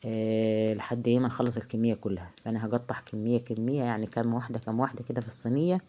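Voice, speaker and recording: A woman with an Egyptian accent, hoarse from a heavy cold, chatting casually through a cooking tutorial in her home kitchen, halting often with drawn-out filler sounds. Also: extremely low pitch, steady tone, mellow. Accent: Egyptian Arabic. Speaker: home cook vlogger. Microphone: phone.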